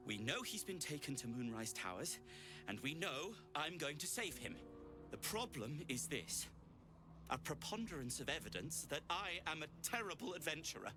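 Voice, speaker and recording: An actor playing an earnest, self-doubting gnome tinkerer in a video game, speaking hesitantly and wryly in formal phrases. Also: male voice, on the high side. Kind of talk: video game character dialogue.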